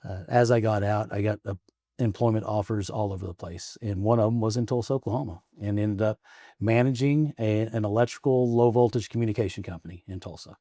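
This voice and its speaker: A man's voice: lowish voice, fairly quick, mellow, dry recording. Plain-spoken man from Arkansas, dry sound. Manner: rambling, restarts stories, chuckles often. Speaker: guest, state representative. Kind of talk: casual interview conversation. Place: office in the state capitol.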